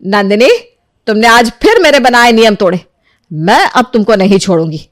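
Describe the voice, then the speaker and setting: A woman's voice: on the high side, lively intonation, normal speed, no echo, studio quality, lightly acted. A woman voicing a harsh, domineering mother-in-law. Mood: scolding. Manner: harsh, scolding. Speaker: cartoon voice actor. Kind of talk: Hindi cartoon dubbing.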